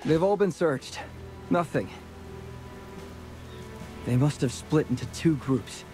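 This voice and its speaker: A man's voice: fairly high pitch, expressive, slow pace, over music. A man voicing a wary young hired hand from the docks in a game. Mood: worried.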